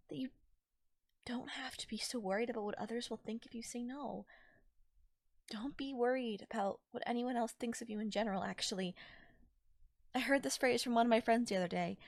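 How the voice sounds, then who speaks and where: A woman playing a caring, affectionate girlfriend in a soft, intimate ASMR audio roleplay, speaking in a tender, coaxing way. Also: a little high, no echo, clean studio sound, lightly acted. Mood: reassuring. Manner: tender, soothing, coaxing, breathy near-whispers at times. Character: caring, affectionate girlfriend comforting a tired partner. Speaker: voice actor. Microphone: close microphone in a dry space.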